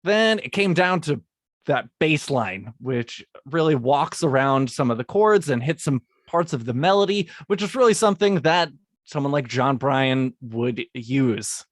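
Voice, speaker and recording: A man's voice: mid-range voice, lively intonation, a little slow, dry recording, clean studio sound. A man, a music composer, explaining how he built his theme piece, partly reading and correcting himself as he goes. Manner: restarts and corrects his script lines.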